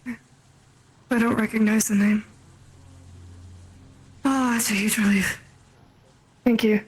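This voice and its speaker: A woman in improvised roleplay dialogue, speaking haltingly and deliberately and repeating phrases. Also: fairly high pitch, fairly even intonation, slightly rough.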